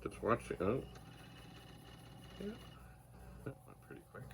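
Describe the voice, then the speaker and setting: A soft-spoken man casually thinking aloud while testing old computer gear in his home computer room, trailing into whispered asides. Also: on the low side, wildly swooping pitch, measured pace, creaky, a little hiss or hum. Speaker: retro computer vlogger. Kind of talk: casual rambling narration while testing hardware. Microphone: phone mic.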